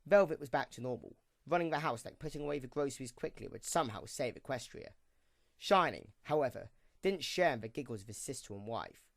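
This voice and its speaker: A male narrator reads a scripted story. His voice is mid-pitched and the recording is close and dry. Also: expressive, a little fast, creaky, a bit bright, dry recording.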